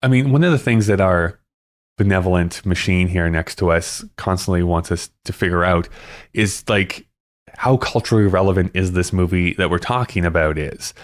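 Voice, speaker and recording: A man co-hosting a podcast, giving measured, analytical opinions in casual chat. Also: low voice. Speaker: podcast co-host. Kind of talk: casual film-discussion chat.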